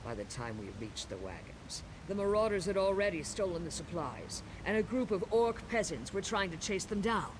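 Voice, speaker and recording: Grim orc warrior, higher-pitched male voice. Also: big pitch swings, normal speed, hardly any pauses, background noise. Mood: grim, scornful. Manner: grave, blunt. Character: grim orc warrior on patrol, scornful and battle-weary. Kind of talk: video game dialogue acting.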